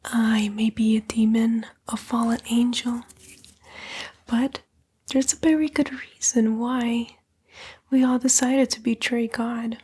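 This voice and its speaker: A woman voicing a smug, seductive female demon, a fallen angel, in a breathy, coaxing ASMR roleplay whisper. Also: fairly high pitch, level tone, dark tone, heavily acted. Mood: sly, tempting. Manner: slow, coaxing, taunting, drawn-out whisper.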